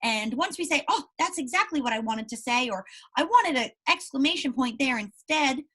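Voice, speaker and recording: A woman's voice: high-pitched, animated, normal speed, a bit bright, husky. A woman teacher reading aloud and explaining things to young pupils, encouraging them and often checking in with questions. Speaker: primary school teacher.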